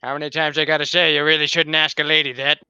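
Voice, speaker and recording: A man's voice: fairly high pitch, little pitch movement, fast talker, pushed and bright, smooth, no echo, lo-fi sound. A man playing a crusty, wary elderly adventurer in a tabletop game, dry, folksy and teasing. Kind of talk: tabletop roleplay.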